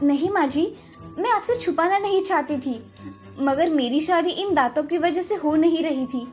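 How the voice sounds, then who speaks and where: An anxious young daughter-in-law from a moral tale, played by a woman. She is meek and deferential, with a very high voice. Quiet music runs underneath. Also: normal speed, close to an everyday voice. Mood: pleading, apologetic. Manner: pleading, deferential.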